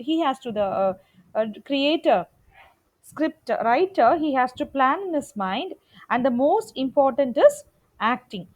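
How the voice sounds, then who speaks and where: Female lecturer, high register, very animated intonation. Explanatory classroom delivery, emphatic, repeats key points. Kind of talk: explanatory lecture on media production.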